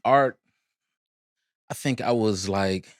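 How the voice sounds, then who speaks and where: Arabic-native man, podcast guest, low voice, relaxed rambling delivery, dry close-miked sound. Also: takes it slowly, no echo. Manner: laid-back, rambling with many fillers. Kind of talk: casual interview chat.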